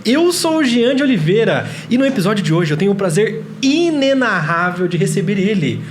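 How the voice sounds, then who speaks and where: A man's voice: sits high, sing-song, brisk, slight background noise. A Brazilian man hosting a casual podcast interview with playfully formal, over-polite phrasing. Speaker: podcast host.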